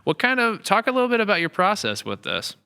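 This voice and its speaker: A man's voice: high voice, huge pitch swings, fast, pushed and bright, clean tone. A man hosting a casual podcast interview, talking in a wordy, thoughtful, rambling way. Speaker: podcast host and series writer.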